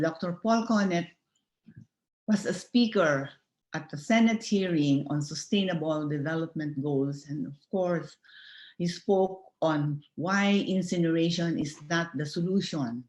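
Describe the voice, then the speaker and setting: A woman giving a formal, measured conference slide presentation. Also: low-pitched, a little mellow. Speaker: presenter from an environmental organization. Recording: echoey room sound.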